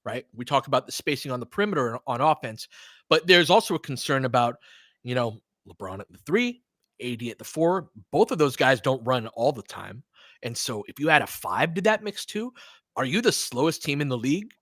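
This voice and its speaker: A man hosting a live basketball watch-along, talking as an excitable, swearing fan who also analyzes the play. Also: medium pitch, brisk, dry recording, clean studio sound. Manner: profane, excitable fan talk with analytical asides. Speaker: sports podcast host and analyst.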